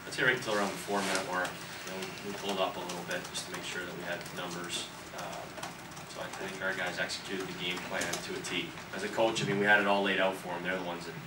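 An earnest coach in his thirties talks through a postgame press conference, restarting his sentences with repeated words. His low, even voice carries the echo of a room and sits at a distance from the table mic. Loud background noise runs under everything. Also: fast. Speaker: college hockey coach. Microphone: table mic from the camera's distance.

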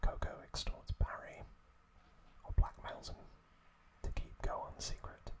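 A man whispering breathily and haltingly with long pauses, close to the microphone, as an ASMR host recapping a story.

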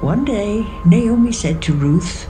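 A woman's voice: mid-range voice, expressive, slow, rough recording. A woman narrating a scripted, dramatised Bible story. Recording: music behind the voice.

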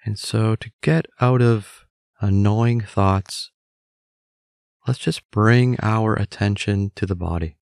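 Slow, low-voiced man guiding meditation. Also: creaky, clear, resonant tone, dry recording, clean studio sound. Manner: calm, measured, gently instructive. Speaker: meditation guide. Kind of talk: scripted guided meditation.

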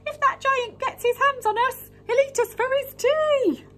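A woman's voice: normal speed, a bit bright, soft background music. A woman squawking as a frightened hen. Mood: anxious. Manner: high, squawking. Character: anxious talking hen, female, squawky.

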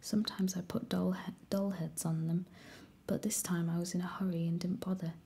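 A woman's voice: on the low side, level tone, moderate pace, vocal fry, mellow, slight background noise. A woman voicing a smug, bossy boy with a Cockney accent, whispering his lines in a condescending way. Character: surly boy with pudgy face, smug and bossy.

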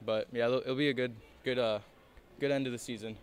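A teenage boy, a high school hockey goalie, giving short, quiet, understated replies in a postgame interview at an ice rink. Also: steady tone, a little fast, smooth. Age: teens. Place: indoor ice rink by the boards. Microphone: handheld microphone held by interviewer.

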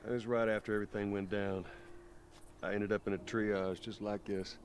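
An actor playing a weary, gruff middle-aged male survivor who is protective and quietly tired. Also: on the low side, level tone, normal speed, slight background noise, natural voice. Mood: reflective.

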